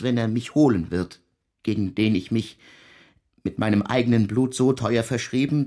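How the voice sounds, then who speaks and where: An actor as a husky, slow, tormented composer. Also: male voice, medium pitch, darkish tone, lightly acted. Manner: halting, repetitive, archaic formal address. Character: tormented middle-aged composer confessing a devil's pact, solemn. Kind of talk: German radio drama monologue.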